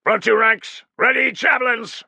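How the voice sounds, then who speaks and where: A man's voice: very high-pitched, fairly even intonation, normal speed, vocal fry, sharp tone, husky, no room sound, pitched above the performer's own voice, heavily acted. A man voicing a hard-bitten male Roman officer who barks drill commands at his soldiers. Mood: barking orders.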